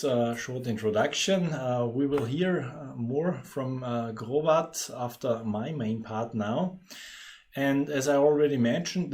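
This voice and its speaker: Austrian-accented man presenting, medium pitch. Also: darkish tone. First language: German. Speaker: webinar host, company presenter. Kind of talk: webinar presentation.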